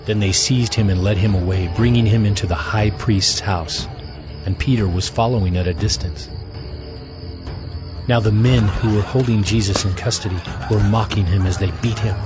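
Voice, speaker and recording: A man with a fairly low voice delivers solemn scripture narration from a script. Loud music sits behind him. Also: steady tone, moderate pace. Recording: loud dramatic music underneath.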